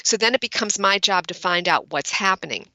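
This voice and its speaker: Bright-voiced woman, crisp and articulate. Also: medium pitch, animated, fairly quick, dry recording. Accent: General American. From Brooklyn, New York. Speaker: executive speech coach guest. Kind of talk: podcast interview.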